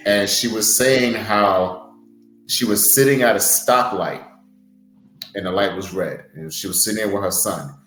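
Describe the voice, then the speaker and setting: A man's voice: on the low side, measured pace, fairly bright tone, roomy sound, poor audio. A man who wrestles, co-hosting a podcast and telling a motivational story in an earnest, heartfelt way. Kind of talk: casual podcast chat.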